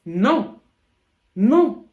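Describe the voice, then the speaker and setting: A woman from Cameroon, chatting reflectively in a casual couple vlog, with frequent pauses. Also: fairly high pitch, sing-song, warm tone, echoey room, muffled recording. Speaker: vlogger.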